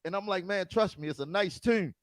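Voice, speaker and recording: A man's voice: high voice, fairly quick, no echo, clean studio sound. An American man who hosts and DJs, telling stories in a casual interview with stumbling restarts. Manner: storytelling, stumbling restarts, laughs often. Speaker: DJ and podcast host.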